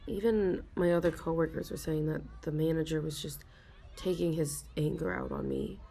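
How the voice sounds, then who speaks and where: A young woman in a soft ASMR role-play, confiding hesitantly as an anxious office worker, sighing and stopping to restart her sentences. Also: sits low, steady tone, creaky. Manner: hesitant and self-deprecating, with frequent sighs and halting restarts.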